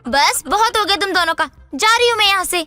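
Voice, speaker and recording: A woman's voice: extremely high pitch, animated, brisk, over music. A woman playing a vain, touchy young college girl in a cartoon story, slangy and defensive in an exaggerated way. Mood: indignant. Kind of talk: Hindi animated moral story dialogue.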